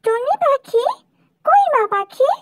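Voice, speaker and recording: A woman's voice: very high voice, lively intonation, takes it slowly, no room sound, studio quality, full character voice. A woman voicing an affectionate, plaintive small village child in a dramatized doll story. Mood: curious.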